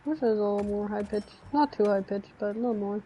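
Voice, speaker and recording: A woman's voice: medium pitch, quick pace, mellow, a little hiss or hum. A woman chatting casually and grumbling sarcastically while playing a video game with her brother. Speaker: gaming streamer. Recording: dull lo-fi stream audio.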